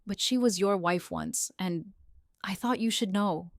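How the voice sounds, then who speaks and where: A woman's voice: medium pitch, unhurried, gravelly, no room sound, studio quality. A woman playing an earnest, emotional adult stepdaughter in an audio story. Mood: tearful. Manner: trembling, earnest. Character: emotional woman meeting her former stepfather.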